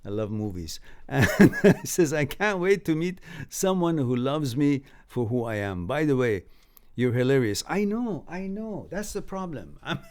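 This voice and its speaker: A man's voice: mid-range voice, very animated, a little slow, darkish tone, smooth. A man whose first language is Farsi chats live with his viewers, reading their comments aloud and answering them. His advice is blunt but easygoing, and he laughs often between sentences.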